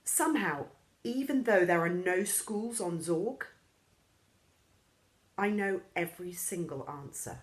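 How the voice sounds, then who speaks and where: A woman reading a children's story aloud in an expressive storybook style, acting out the characters' lines. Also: slow pace, pauses often. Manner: lively, acts out characters' lines with raised pitch.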